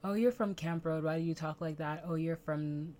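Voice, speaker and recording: A young woman with a low voice and a light Bahamian accent, talking with a friend on a podcast. Her words come out precise and tidy, but she keeps the tone loose and conversational, with plenty of casual fillers. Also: normal speed, darkish tone. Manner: precise, careful diction with chatty fillers. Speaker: podcast co-host. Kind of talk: casual chat.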